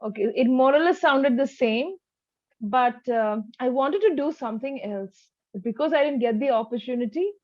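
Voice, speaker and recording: A woman's voice: high voice, expressive, normal speed, no room sound, highs cut off. A woman teaching a one-to-one speaking lesson as a tutor, instructive and demonstrating sentences with exaggerated intonation.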